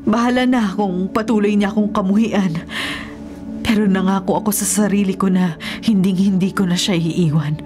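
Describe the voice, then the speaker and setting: A woman's voice: medium pitch, fairly even intonation, normal speed, music in the background, lightly acted. An actress playing a remorseful, devout middle-aged mother with a Filipino accent, earnest and solemn, in a radio drama. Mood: resolute.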